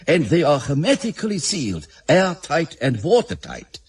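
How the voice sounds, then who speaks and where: An actor playing an obsequious, gleeful Swiss banker in a radio drama, with a raspy voice and fawning, precise manner. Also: male voice, fairly high pitch, huge pitch swings, normal speed, exaggerated voice. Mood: fawning, reassuring.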